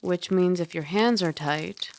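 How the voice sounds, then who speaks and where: A woman voicing a caring young dragon roommate in a soft ASMR roleplay, gently bossy and teasing as she gives close-mic instructions. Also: lowish voice, unhurried, a little hiss or hum. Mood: soothing. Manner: gently bossy, teasing, puzzled by human ways. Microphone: close ASMR microphone.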